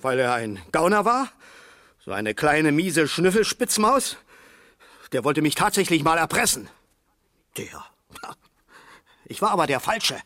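An actor playing a shaken suspect who haltingly denies his guilt in a 1970s German radio crime drama. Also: a little high, sing-song, bright, forceful tone, no echo, clean studio sound, exaggerated voice. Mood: indignant, bewildered. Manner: halting, bewildered, repeating himself. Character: shaken, remorseful male drunk-driving suspect denying his guilt.

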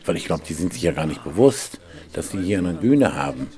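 Very deep, dark-voiced, resigned man. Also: lively intonation, brisk. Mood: resigned, reflective. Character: reflective, detached male bystander watching others fight. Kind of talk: naturalistic conversational radio drama.

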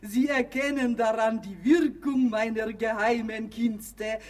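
An actress playing a maid disguised as a boastful quack doctor in a radio play, pompous, emphatic and dismissive. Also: female voice, fairly high pitch, fairly even intonation, measured pace, crowd noise, lightly acted. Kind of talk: German radio play acting.

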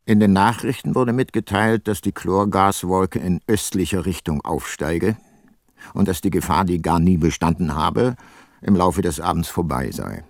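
Laconic detective narrator for radio crime drama: low-pitched, dry studio sound, sceptical, understated. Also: male voice, normal speed, no echo. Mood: sober, reflective. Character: dry, sceptical private detective, male, narrating his case.

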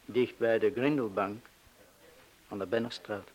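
Actor as hesitant, guilt-ridden middle-aged crook. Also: male voice, fairly low pitch, steady tone, a little mellow, lightly acted, poor audio. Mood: grim, subdued. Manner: hesitant, halting, confessional. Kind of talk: radio play acting.

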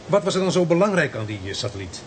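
An actor with a Netherlands Dutch accent playing an inquisitive companion piecing a mystery together in a radio play. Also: male voice, on the high side, very animated, fast talker, a little hiss or hum. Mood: curious.

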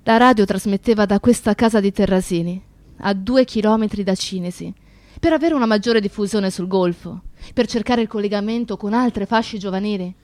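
A woman's voice: medium pitch, a little fast, a little mellow, no room sound, lightly acted. A woman playing a proud, defiant young feminist comrade in radio theatre, with intense, declamatory delivery. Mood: earnest narration. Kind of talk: dramatic radio theatre monologue and narration.

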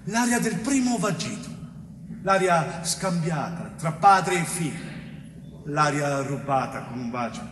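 An anguished innocent man in a theatrical monologue. His fairly high voice circles back over poetic phrases, stretching them out. The hall around him echoes heavily, with faint noise. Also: animated, a bit bright, recorded from a distance. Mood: anguished, reflective. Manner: poetic, repetitive, drawn-out phrases.

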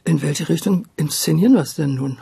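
An older man looks back in an interview, in a husky, mellow voice that often breaks into creak. He pitches a little high for a man and speaks reflectively, hesitating and rephrasing as he thinks. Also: a little fast, no echo. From Berlin, Germany. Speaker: interviewee, radio drama director. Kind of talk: reminiscing in a conversational interview.

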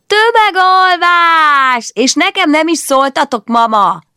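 A woman voicing an excitable, cheeky little boy in a storybook reading, with a shrill, squealing voice. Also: no echo, studio quality. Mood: gleeful.

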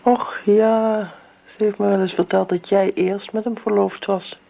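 An actress playing a devoted middle-aged daughter, earnest, coaxing and insistent in radio drama style. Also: female voice, mid-range voice, little pitch movement, measured pace, gravelly. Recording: tinny, noisy old radio recording.

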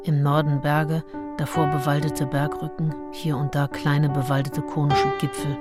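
A woman's voice: deep voice, little pitch movement, normal speed, over loud music, natural voice. A woman playing an observant traveller in a radio play, reading out her terse, matter-of-fact diary notes. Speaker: diarist.

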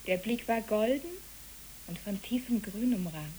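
A woman playing a solemn child wanderer who talks in riddles, hushed and matter-of-fact, in a poetic German radio drama. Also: medium pitch, expressive, unhurried, natural voice, low-quality recording. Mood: dreamy. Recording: clear tape hiss.

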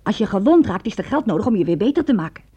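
An actress playing a pushy, money-minded tavern waitress and fiancée in a radio drama, blunt and nagging. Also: female voice, mid-range voice, very animated, rapid-fire, dark tone, slightly rough, muffled recording. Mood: calculating, insistent. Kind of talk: Dutch radio drama acting.

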